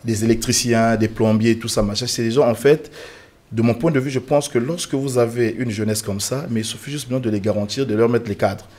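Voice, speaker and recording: A man's voice: mid-range voice, steady tone, fairly quick, darkish tone. A man from the Republic of the Congo, a guest on a televised panel, speaking earnestly and emphatically with frequent fillers and restarts.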